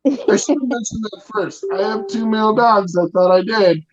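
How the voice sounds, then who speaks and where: A man tells a rambling stand-up story over a video call, loud and poking fun at himself. His voice sits fairly high. It comes through a home call mic. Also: moderate pace, muffled recording, crowd noise. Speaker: stand-up comic in residence. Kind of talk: stand-up set over a stream.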